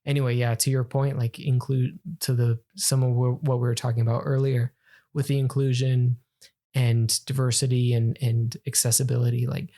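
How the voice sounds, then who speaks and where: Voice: medium pitch, little pitch movement, normal speed, mellow. Rambling off-the-cuff podcast guest. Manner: off-the-cuff, rambling, self-correcting. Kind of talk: casual chat.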